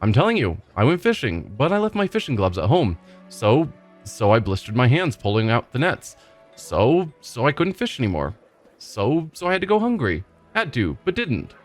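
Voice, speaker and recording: A man's voice: mid-range voice, close to an everyday voice. A man voicing a cheerful, simple-minded male village fisherman who rambles and repeats himself proudly. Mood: rambling. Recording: faint game music behind.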